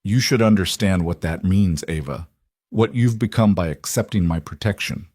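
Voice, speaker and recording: A man narrating plays a brooding, controlled mafia boss in a romance audiobook. He speaks low, in grave, measured declarations, on a dry recording. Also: a little mellow, dry recording. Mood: intense, possessive. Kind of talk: dramatised audiobook dialogue.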